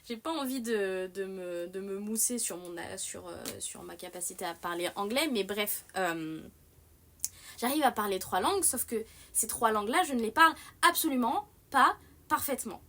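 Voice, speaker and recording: A young woman hosts a casual live stream at a middle pitch. She meanders through her thoughts and circles back on half-finished sentences. Her delivery is animated and self-mocking. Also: moderate pace. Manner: rambling, many fillers and restarts. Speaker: livestream host. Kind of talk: casual livestream chat.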